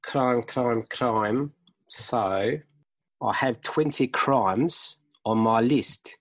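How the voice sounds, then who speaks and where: A man's voice: mid-range voice, drawn-out words, a bit bright, no echo. A man co-hosting a film review podcast, chatting casually and rambling with restarts. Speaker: podcast co-host.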